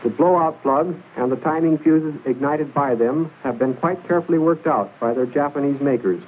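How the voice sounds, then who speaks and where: A man narrates an old military training film in a formal, measured, precise instructional style, reading from a script. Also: fairly high pitch, narrow, tinny audio. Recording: hissy band-limited 1940s film soundtrack.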